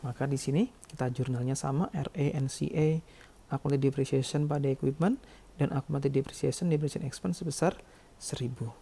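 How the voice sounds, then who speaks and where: A man's voice: medium pitch, fairly even intonation, brisk, creaky, mellow, husky. A man explaining worked calculations step by step as a lecturer, often adding small check-in words. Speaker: accounting lecturer.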